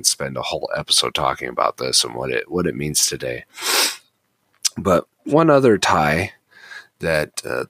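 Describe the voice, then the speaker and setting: Man, extremely low pitch, sharp edge, co-host in a casual Bible study chat. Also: dry recording. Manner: explains at length with frequent restarts. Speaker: podcast co-host.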